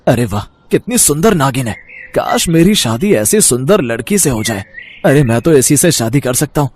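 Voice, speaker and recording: A man's voice: mid-range voice, animated, moderate pace, a little mellow, slight background noise, close to an everyday voice. A man playing a wicked, lovesick, scheming snake charmer in a cartoon, with a melodramatic manner. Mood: hopeful. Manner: melodramatic, pleading and gloating.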